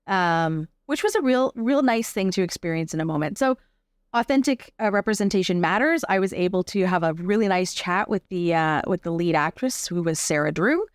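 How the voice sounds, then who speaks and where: Lower-voiced woman guest, reflective, dry recording. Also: lively intonation, moderate pace, smooth, dry recording. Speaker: podcast guest, actor and advocate. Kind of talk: conversational interview, personal account.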